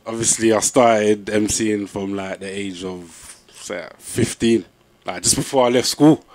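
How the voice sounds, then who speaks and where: A man's voice: on the low side, a little slow, a little mellow. Laid-back London man. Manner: laid-back, peppered with "you know what I'm saying". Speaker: MC interviewee. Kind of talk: casual interview chat.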